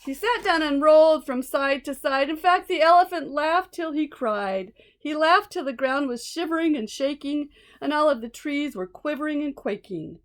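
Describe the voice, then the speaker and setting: High-voiced woman reading a storybook. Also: lively intonation, moderate pace. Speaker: storybook reader. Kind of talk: expressive children's read-aloud with asides.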